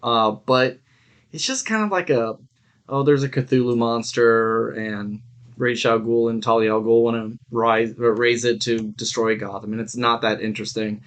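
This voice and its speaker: A man with a mid-pitched voice talks as a podcast co-host. He reviews things in a loose, informal way, as in a casual chat between friends. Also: steady tone, moderate pace, a bit bright, clean tone.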